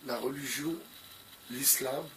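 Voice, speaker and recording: A man's voice: mid-range voice, slow, a bit bright, slight background noise, poor audio. A man with a cold giving unscripted commentary to his viewers, hesitant and full of fillers, often repeating the same phrases. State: has a cold. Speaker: video commentator.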